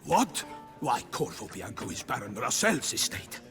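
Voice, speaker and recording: A man acting an excitable, haughty knight in a video game, exclamatory and courtly in phrasing. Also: medium pitch, moderate pace, gravelly, over music. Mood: scornful. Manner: exclamatory, theatrical, old-fashioned courtly phrasing. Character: excitable, haughty knight, impatient and easily outraged.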